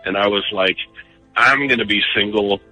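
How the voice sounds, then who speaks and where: A man with a bright, forward voice and a husky edge talks as a radio caller. He tells his story casually, a little hesitant and self-deprecating, over background music. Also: lowish voice, normal speed, poor audio.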